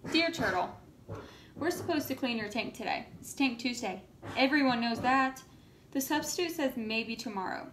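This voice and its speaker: An earnest, easily indignant schoolchild, voiced by a woman from a storybook. The voice is fairly high and emphatic, in an echoey room with faint noise. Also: expressive, moderate pace. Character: earnest, indignant young schoolchild writing letters.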